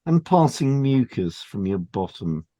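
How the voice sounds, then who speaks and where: Guy reading a health podcast script, soft mellow tone, measured and explanatory. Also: mid-range voice, sing-song. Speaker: podcast co-host and hypnotherapist. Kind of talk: scripted educational podcast, read aloud.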